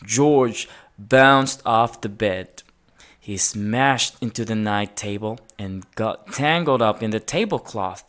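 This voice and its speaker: A woman's voice: very deep voice, slow. A woman reading a children's story aloud, expressively and with dramatic emphasis. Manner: expressive, dramatic emphasis, sound-effect words. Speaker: storybook reader.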